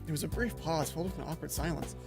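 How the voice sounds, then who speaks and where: Woman, lowish voice, let's play host, reading aloud, expressive casual commentary, prominent music behind. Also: fast talker, creaky. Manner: expressive, swearing, stumbles while reading. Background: game music.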